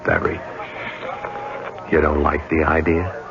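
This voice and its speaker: An actor playing a cold, vengeful man plotting a murder in a conspiratorial, deliberate way, in 1970s radio drama style. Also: extremely low pitch, flat delivery, gravelly, exaggerated voice. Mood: cold, scheming. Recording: dull 1970s radio recording, music behind.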